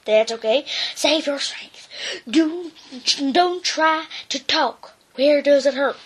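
A woman's voice: high voice, takes it slowly. A woman voicing a self-important male ranch dog who barks mock-military orders in a children's storybook. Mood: pompous.

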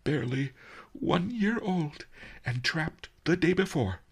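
Cunning old man, high-pitched, wildly swooping, huffy, theatrical, storybook character. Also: sing-song, takes it slowly, gravelly, sharp tone, full character voice. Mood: tearful. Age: older. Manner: theatrical, huffy, sobbing outbursts. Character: cunning old sage, indignantly wailing great-grandfather.

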